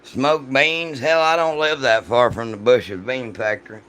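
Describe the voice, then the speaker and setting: A man with a deep, rough voice chats casually and jokingly with his livestream audience in a folksy, salty way. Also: fairly even intonation, unhurried, fairly bright tone. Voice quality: deep, rough voice from vocal cord polyps. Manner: folksy, joking, foul-mouthed. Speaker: livestream host reading and answering chat.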